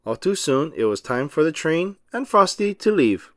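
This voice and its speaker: A man narrating a children's storybook aloud as an expressive, emphatic storyteller. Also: fairly high pitch, normal speed, dry recording, clean studio sound.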